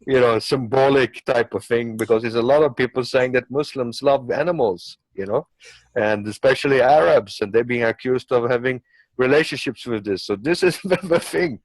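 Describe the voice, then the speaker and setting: A man's voice: mid-range voice, moderate pace, no room sound, low-quality recording. Rambling man, non-native English speaker. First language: not English. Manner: rambling, repetitive argument with hypothetical examples. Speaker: panelist. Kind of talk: unscripted opinionated discussion.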